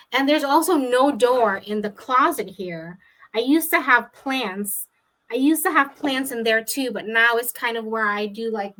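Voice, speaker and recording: A woman host from Trinidad and Tobago with a slightly high voice chats casually with a guest over a video call. She is friendly and self-deprecating, and she leans on plenty of fillers. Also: a bit bright, poor audio. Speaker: podcast host. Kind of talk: casual interview chat.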